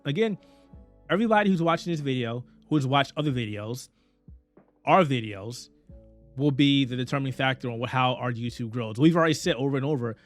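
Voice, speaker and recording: Earnest man with a fading voice. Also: medium pitch. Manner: rambling, earnest, stumbles and restarts often. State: losing his voice. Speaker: head of a small gaming and anime media outlet. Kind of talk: casual talk to camera.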